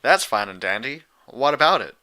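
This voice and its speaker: A man voicing a cautious, plain-spoken country mare in a folksy, low-key manner, in a storybook reading. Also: big pitch swings, moderate pace, bright, forceful tone. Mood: puzzled.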